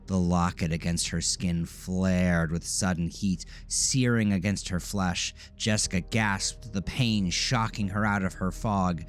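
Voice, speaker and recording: A man's voice: low voice, steady tone, unhurried, hardly any pauses. A man narrating a scripted psychological horror story as a dramatic narrator.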